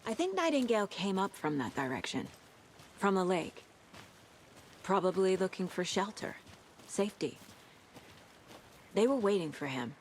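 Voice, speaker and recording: A woman acting an intuitive, composed FBI agent in a video game, speaking in an understated, deliberate way with clipped phrases. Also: medium pitch, sing-song, a little hiss or hum, close to an everyday voice. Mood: matter-of-fact. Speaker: lead detective character.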